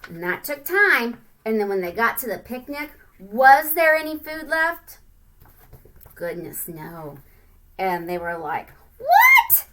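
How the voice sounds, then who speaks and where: A woman reading a picture book aloud to children, expressive and singsong, with chatty asides and questions to the listener. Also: on the high side, takes it slowly. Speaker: read-aloud storyteller.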